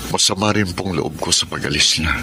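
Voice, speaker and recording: An actor with a Filipino accent playing an earnest, respectful, heartbroken son in a radio drama. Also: male voice, sits low, fairly quick, background noise, lightly acted. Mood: sad, resigned. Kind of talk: Tagalog radio drama acting.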